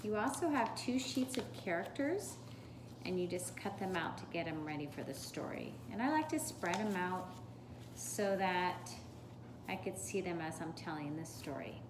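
A woman in her forties giving a storybook read-aloud and craft demonstration in a deliberate, instructional way, recorded in a home kitchen from a step or two away. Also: lowish voice, very animated. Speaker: teacher reading a picture book aloud. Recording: echoey room with clear background noise.

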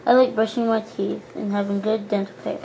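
A woman's voice: mid-range voice, level tone, a little fast, gravelly, mellow, slight background noise, natural voice, poor audio. A young woman voicing a prim, churchy teenage girl doll who talks in an earnest, boastful way. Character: prim pious teen girl doll, goody-two-shoes.

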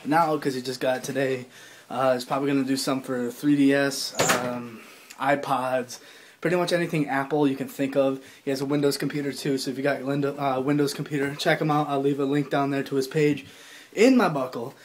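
A man's voice: mid-range voice, steady tone, brisk, slight background noise. A young man vlogging casually to his phone camera, rambling with lots of fillers and restarts. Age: young adult. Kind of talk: casual vlog chat to camera. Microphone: phone at arm's length.

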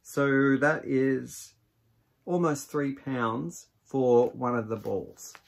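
A man in his forties giving a casual product review to camera, unhurried and musing as he thinks aloud. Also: mid-range voice, fairly even intonation, vocal fry. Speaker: yarn vlogger, host. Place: small indoor craft room with shelves of yarn.

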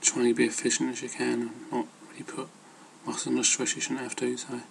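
A man's voice: mid-range voice, monotone, fairly quick, gravelly, noisy background. A man giving casual, rambling advice as an amateur fitness vlogger, hesitant and loosely worded.

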